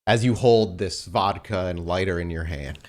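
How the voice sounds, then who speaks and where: A man acting as game master, improvising descriptive, halting narration for a tabletop roleplaying game. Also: deep voice, a little mellow.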